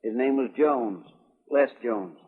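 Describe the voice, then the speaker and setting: Husky country deputy type, rural american, folksy and eager to please, muffled old radio. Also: male voice, mid-range voice, slow pace. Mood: dutiful, earnest. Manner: folksy, drawling, deferential. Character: folksy, deferential male sidekick to frontier marshal. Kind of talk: 1950s radio western acting. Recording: muffled, echoey vintage radio broadcast.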